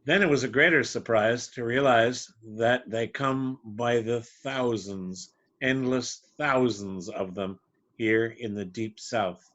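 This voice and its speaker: A middle-aged Canadian man giving a measured, wry impromptu speech at a club meeting. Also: mid-range voice, a bit bright, dull audio. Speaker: club member answering a table topic.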